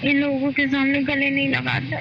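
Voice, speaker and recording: High-voiced woman on a very noisy call. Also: monotone, normal speed, gravelly. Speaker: partner in a private couple's phone call. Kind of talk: casual intimate phone chat. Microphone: phone call. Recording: very noisy, dull lo-fi phone call recording.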